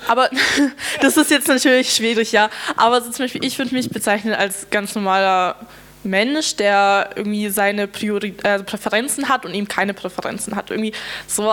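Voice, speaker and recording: A woman's voice: a little high, a bit bright. A young woman born in Russia, speaking as a guest on a discussion panel, hesitant and searching for her words with many fillers.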